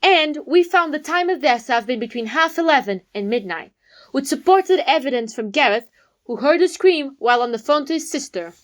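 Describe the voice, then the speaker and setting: A woman playing a confident young detective in an amateur comedy whodunnit, explaining with dramatic pauses. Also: high-pitched, expressive. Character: confident young detective laying out a murder case.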